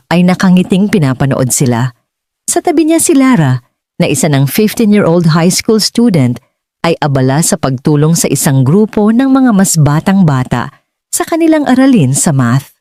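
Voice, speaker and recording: A Filipino-accented woman narrating a scripted dramatic story in a measured, earnest way, as for an audiobook. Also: low-pitched, mellow, dry recording, clean studio sound.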